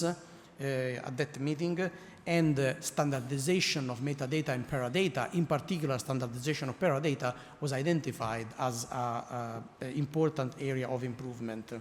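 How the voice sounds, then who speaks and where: A middle-aged man with an Italian accent speaks at an academic conference, stopping often on "uh" and restarting his sentences. He drops in dry, wry asides as he goes. His mid-pitched voice carries a long hall reverb over a faint background hiss. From Italy.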